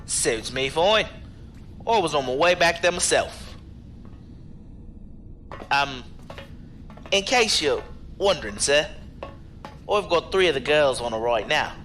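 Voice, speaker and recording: A man's voice: on the high side, expressive, takes it slowly, pauses often, a bit bright, soft background music. A man playing a cautious, chummy security contractor in an audio drama, talking colloquially with familiar deference. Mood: casual, sly. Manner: colloquial, deferential but familiar, calling people sir and mate.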